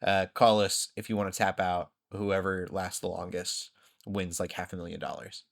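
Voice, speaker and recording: A man hosting a podcast, telling rambling, animated stories in casual conversation. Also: low-pitched, normal speed, vocal fry. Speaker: podcast host.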